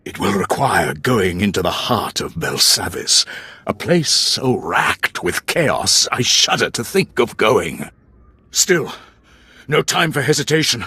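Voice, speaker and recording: Very raspy, desperate dying Sith lord. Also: male voice, lowish voice, big pitch swings, bright, forceful tone, dry recording, full character voice. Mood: desperate resolve. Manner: theatrical, grave, halting dramatic delivery. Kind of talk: dramatic recorded monologue.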